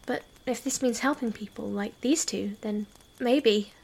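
Woman playing an earnest, high-voiced halfling adventurer. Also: unhurried, vocal fry, darkish tone, slightly rough, exaggerated voice. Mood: wistful. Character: brave, affectionate female halfling adventurer. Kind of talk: audio drama dialogue.

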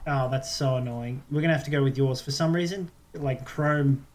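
A man's voice: medium pitch, moderate pace. A man co-hosting a podcast in casual, joking banter, giggly and often restarting his phrases. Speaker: podcast co-host. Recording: clear background noise with clicks.

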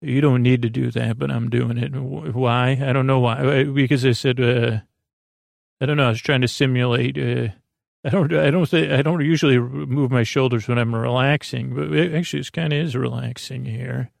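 A man with a creaky voice hosting a sleep podcast, soothing and meandering, halting as he wanders off on gently absurd, self-deprecating tangents. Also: mid-range voice, fairly even intonation, no room sound, studio quality. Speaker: host of a bedtime sleep podcast. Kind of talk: rambling, soothing bedtime monologue.

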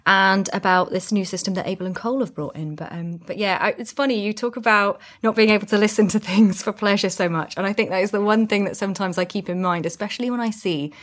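Chatty British woman, mid-pitched voice. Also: fast talker, few pauses. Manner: chatty, tags statements with questions. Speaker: podcast co-presenter. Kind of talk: casual discussion of podcasting.

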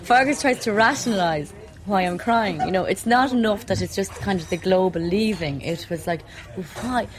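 A woman's voice: medium pitch, moderate pace, hardly any pauses. A chatty Irish woman in her thirties from Dublin, telling stories animatedly and laughing often. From Ireland, Dublin. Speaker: wife moving house. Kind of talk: casual chat.

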